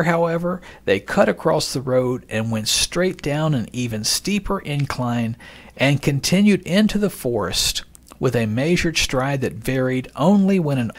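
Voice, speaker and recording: A man narrates from a script in a medium-pitched voice. His delivery is measured and expository, like a podcast narrator laying out an account, and he clearly announces the passages he quotes.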